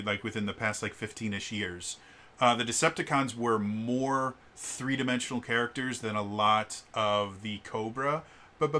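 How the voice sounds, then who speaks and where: Man, deep voice, bright tone, casual review talk, stutters on words, lots of fillers. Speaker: tabletop RPG reviewer.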